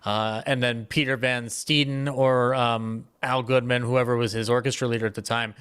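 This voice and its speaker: Mid-pitched man, animated panel storyteller. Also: normal speed, clean tone. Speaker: panelist, old-time radio fan. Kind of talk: casual panel discussion.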